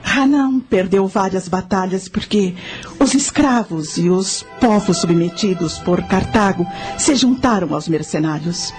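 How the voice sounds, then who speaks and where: Woman acting a solemn Carthaginian high priestess over music. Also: lively intonation, a little slow, a little mellow, lightly acted. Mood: grave narrating. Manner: grave, formal storytelling. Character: solemn high priestess of ancient Carthage, recounting her past. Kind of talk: radio drama acting. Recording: music under the voice.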